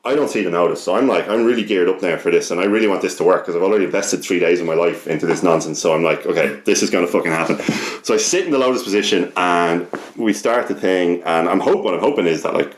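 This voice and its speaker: A man's voice: sits low, quick pace, echoey room. A young Irish man telling a personal story casually as a podcast guest, sweary, rambling and often interrupting himself.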